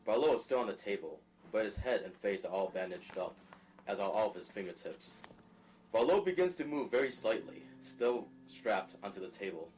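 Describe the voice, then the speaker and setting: A man's voice: lowish voice, expressive, moderate pace, a little mellow, soft background music, rough recording. A man narrating scripted stage directions for an audio drama.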